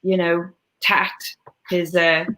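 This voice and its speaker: A woman's voice: fairly low pitch, flat delivery, slow pace, sharp tone, poor audio. A Welsh woman hosting a casual live stream, chatting in a rambling way with lots of fillers and restarts. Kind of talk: casual chat.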